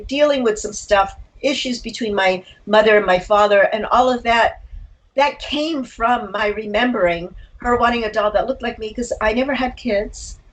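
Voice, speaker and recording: A woman's voice: mid-range voice, rough recording. Older woman, reflective and candid. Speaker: interview guest, writer and actor. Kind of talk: reflective personal interview.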